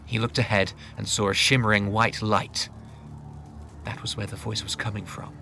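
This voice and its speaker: A man's voice: on the low side, level tone, normal speed, gravelly. A man reading dramatic scripted fantasy narration in a grave manner. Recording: faint noise and ambience.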